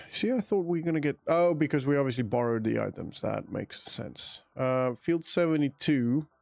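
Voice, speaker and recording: A man's voice: a little high, gravelly, a little mellow, slight background noise. A man from South Africa with an accent that mixes South African and British, chatting casually while streaming a game, thinking aloud and answering chat. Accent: mixed South African and British. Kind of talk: casual live gameplay commentary.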